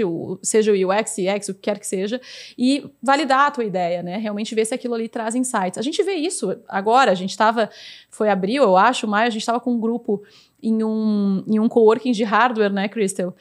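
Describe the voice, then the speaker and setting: A woman hosting a casual podcast, chatty and quick, peppering her talk with filler tags. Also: fairly high pitch. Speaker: host.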